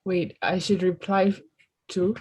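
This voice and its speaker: A student answers the teacher's questions in an online class. The speech is slow and hesitant. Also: medium pitch, level tone, breathy edge.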